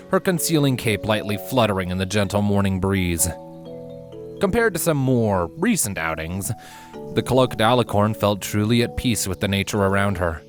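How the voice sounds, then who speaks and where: Low-voiced man narrating a fantasy story. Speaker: fan fiction narrator. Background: background music.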